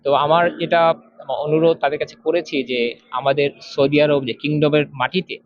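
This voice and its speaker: A man from Bangladesh giving interview remarks, circling back over his phrases in a halting way. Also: a little high, steady tone, dry recording. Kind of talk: interview remarks at a trade fair. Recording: dull, lo-fi audio.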